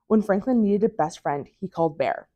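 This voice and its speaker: A woman reading a children's picture book aloud as a library storytime reader, with expressive storytelling. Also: medium pitch, fairly quick, dull audio.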